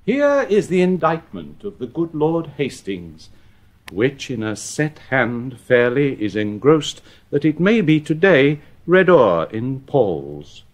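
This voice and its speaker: Sardonic Shakespearean scribe: slow, deliberate, rueful, highly inflected. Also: male voice. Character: sardonic, troubled legal scribe. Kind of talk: Shakespearean soliloquy in radio drama.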